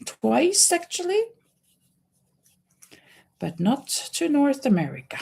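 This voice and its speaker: A woman's voice: high voice, huge pitch swings, slow pace, gravelly, dark tone. A Norwegian woman with a Norwegian accent, chatting casually to viewers as the host of a livestream. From Norway. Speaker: live stream host, crocheter.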